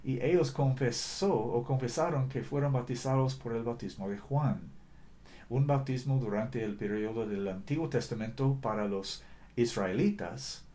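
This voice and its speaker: A man preaching a sermon in a language that is not his first, earnest and deliberate, often correcting himself mid-sentence. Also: medium pitch, mellow. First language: not Spanish.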